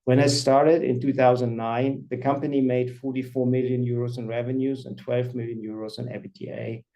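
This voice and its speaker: A man in his fifties, a company CFO, presenting quarterly results on an earnings call in a measured, formal way. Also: mid-range voice, level tone, creaky, highs cut off. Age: 50s. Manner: measured, formal reading of financial figures.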